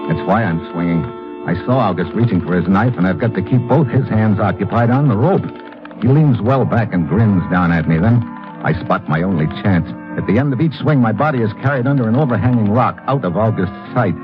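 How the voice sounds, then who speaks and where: Actor as wry radio adventurer, muffled recording. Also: male voice, lowish voice, expressive, fairly quick, over music, lightly acted. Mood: tense narration. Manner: wry, colloquial, sardonic. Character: wry, tough American male adventurer-investigator hero. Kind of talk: 1950s radio adventure acting with first-person narration.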